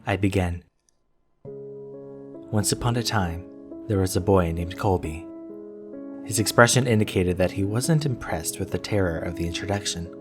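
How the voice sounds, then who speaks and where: A man with a low voice reads a first-person horror story. His delivery is deliberate and measured, like a storyteller. Plucked guitar music plays clearly behind him. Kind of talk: scripted first-person narration.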